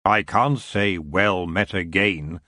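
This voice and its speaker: Male narrator doing an ancient elf king: deep, unhurried, silky, menacing. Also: sharp tone, no echo, clean studio sound, heavily acted. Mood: intrigued. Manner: smooth, languid and slow.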